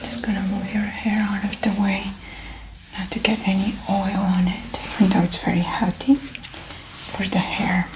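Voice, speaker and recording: A woman speaking in a soft, breathy near-whisper, gently explaining a medical exam in an ASMR roleplay. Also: medium pitch, little pitch movement, measured pace, reverberant, background noise. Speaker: ASMR performer playing a medical examiner.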